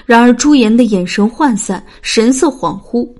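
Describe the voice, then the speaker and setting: A woman's voice: fairly high pitch, expressive, moderate pace, dark tone. A woman reading scripted, dramatic narration as an audiobook narrator.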